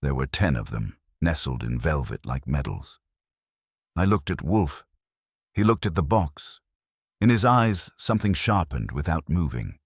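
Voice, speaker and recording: A man narrates a detective story in a very low, slightly husky voice. His delivery is dry and wry, with lively movement in pitch, as scripted first-person narration. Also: unhurried, no echo. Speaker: audiobook narrator.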